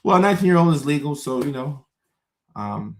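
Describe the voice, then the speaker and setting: A man in his thirties from Ghana, hosting a relaxed livestream and chatting with viewers, often repeating his phrases. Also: fairly high pitch, fairly quick. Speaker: host. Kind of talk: casual livestream chat.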